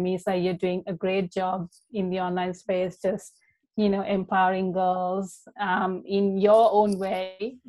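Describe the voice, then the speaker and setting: A woman's voice: medium pitch, rough recording. Young woman from sri lanka, life coach guest, earnest, lots of um and you know. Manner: warm, earnest, many ums and you-knows. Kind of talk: casual interview talk.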